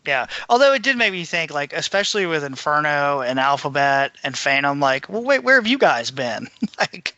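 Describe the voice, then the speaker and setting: Higher male voice, very bright and forward, dry close sound, casual podcast co-host. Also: very animated, normal speed, no room sound, lo-fi sound. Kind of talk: casual fan discussion.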